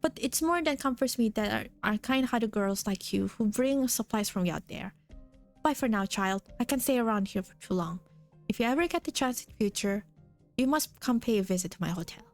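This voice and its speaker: A woman voicing a warm, rambling older man who keeps a hotel, with faint music behind. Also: fairly high pitch, lively intonation, moderate pace. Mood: fond. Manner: rambling, fond, nostalgic. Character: warm, chatty older male hotel keeper.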